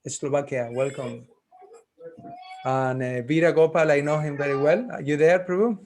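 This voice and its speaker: A man's voice: fairly high pitch, a little mellow. Man calling roll on a video call. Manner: friendly, halting, thinking aloud. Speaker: class teacher.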